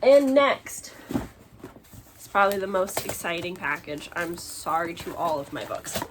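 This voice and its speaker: A woman chatting casually to her vlog audience in an expressive, giggly, exclaiming way, as a book vlogger showing her purchases. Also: on the low side, unhurried. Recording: clear background noise.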